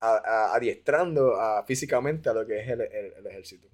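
A man's voice: medium pitch, a little fast, darkish tone. A man from hosting an interview, explaining points for listeners and laughing easily. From Puerto Rico. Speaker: podcast host. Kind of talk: casual interview.

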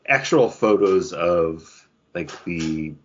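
A man co-hosting a podcast in casual chat, halting and full of fillers, often acting out imagined lines as he talks. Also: low voice, lively intonation, lo-fi sound, slight background noise. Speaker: podcast co-host reviewing comic books.

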